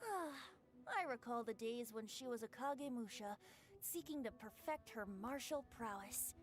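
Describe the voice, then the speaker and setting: A woman voicing a youthful, teasing, smooth-talking androgynous bard god in a scripted game voice line. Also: fairly high pitch, expressive, a little slow. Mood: playful, teasing.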